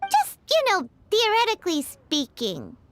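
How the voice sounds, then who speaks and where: A woman voicing a small, excitable, cheeky fairy companion in an anime video game, with a squeaky childlike voice and chirpy exclamations. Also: measured pace, heavily acted. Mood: coy, hedging. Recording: loud game sound behind the voice.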